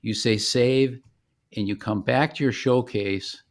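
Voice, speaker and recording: A man explaining software step by step in a conversational, informal product demonstration. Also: mid-range voice, a little slow.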